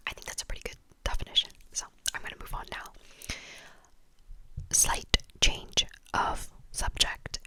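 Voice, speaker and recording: Slow whispering woman teaching. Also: rough recording. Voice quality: soft whisper. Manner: repetitive, slow, breathy trigger-word repetition. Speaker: language teacher. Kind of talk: whispered ASMR lesson. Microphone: camera mic.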